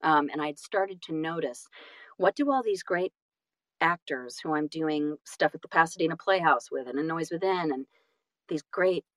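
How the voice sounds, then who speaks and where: A woman's voice: deep voice, normal speed, fairly bright tone, dry recording, low-quality recording. A woman telling stories casually as an interview guest, self-deprecating and emphatic in manner. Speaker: guest, audiobook narrator and actress.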